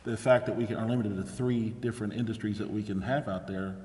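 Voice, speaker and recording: A man's voice: lowish voice, fairly even intonation, fast talker, darkish tone. A man speaking as a mayor running a council meeting, discussing matters with the other members. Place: indoor council chamber. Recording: reverberant meeting chamber with background noise.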